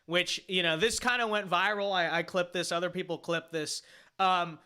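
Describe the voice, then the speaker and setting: High bright-voiced man ranting casually. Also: steady tone, normal speed, smooth. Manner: rambling and opinionated, heavy fillers and restarts; emphatic and dismissive. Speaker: streamer reacting to his own panel appearance. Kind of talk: livestream reaction commentary.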